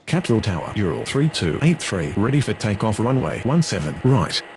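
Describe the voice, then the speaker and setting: A man's voice: very animated, brisk. A man playing a formal airline pilot and controller, speaking in scripted aviation radio phraseology. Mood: procedural, formal. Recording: very noisy audio with vehicle rumble.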